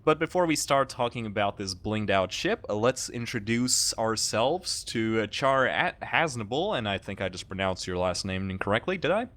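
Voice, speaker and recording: A young man hosts a relaxed chat about an online game. His voice swings up and down a lot as he jokes and swears casually. He keeps it loose and playful. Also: on the low side, normal speed, few pauses. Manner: joking, animated, swears casually. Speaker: channel host.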